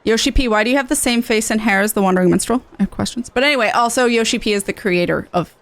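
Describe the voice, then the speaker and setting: A woman streaming a video game and chatting casually, playful, expressive and gushing, with game music behind her. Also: on the high side, fast talker, no echo.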